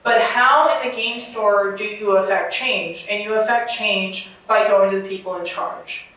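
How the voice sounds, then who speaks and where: A woman's voice: medium pitch, fairly even intonation, moderate pace, fairly bright tone. Confident woman, dull distant echoey sound. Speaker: panelist. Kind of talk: casual panel discussion. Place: convention panel room. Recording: dull echoey hall from far off.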